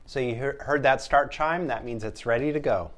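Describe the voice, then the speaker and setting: A middle-aged man doing an animated, theatrical read-aloud of a children's story. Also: mid-range voice, moderate pace. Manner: expressive, theatrical. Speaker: storyteller, app sound designer. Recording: music behind the voice. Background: music and sound effects from a story app.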